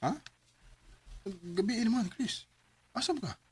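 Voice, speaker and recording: A man narrating and acting as the lead in a radio drama, earnest and gentle in manner. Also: very high-pitched, lively intonation, takes it slowly, breathy edge. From Cebu, Philippines.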